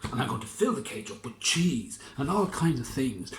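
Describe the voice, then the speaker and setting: A man voicing a proud, self-assured male inventor in a children's story, explaining his plan slowly. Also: medium pitch, big pitch swings, fairly bright tone. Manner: deliberate, self-assured, drawn out. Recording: roomy with faint noise.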